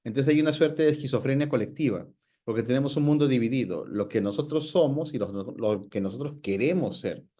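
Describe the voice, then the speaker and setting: Mellow-voiced man. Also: medium pitch, fairly quick. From Peru. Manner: reflective, asks the guest's view, many fillers. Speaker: host. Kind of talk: casual conversational talk.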